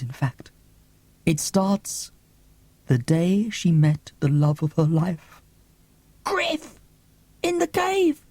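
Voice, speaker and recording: Audiobook grande dame, elderly, gushing and romantic, high and husky played by a man. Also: warm tone, dry recording, exaggerated voice. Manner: gushing, drawn-out, grandly affectionate.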